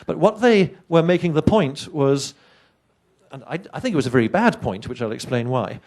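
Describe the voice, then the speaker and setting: A middle-aged man with an English accent presents a science talk to an audience, chatty and full of wry asides. His voice sits on the higher side for a man. English is not his first language. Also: lively intonation, moderate pace, darkish tone. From England. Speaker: conference speaker.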